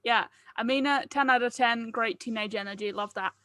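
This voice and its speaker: A woman's voice: on the high side, brisk, pushed and bright, no room sound. A woman directing a table read, chatting casually and giving encouraging, informal feedback. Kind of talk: casual group chat and feedback.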